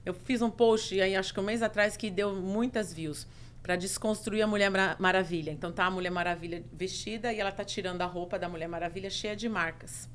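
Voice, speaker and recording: A middle-aged Brazilian woman with a Brazilian Portuguese accent, a podcast guest telling her own story in casual chat, expressive and emphatic, listing things in rhythmic runs. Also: medium pitch, fairly quick, slight background noise.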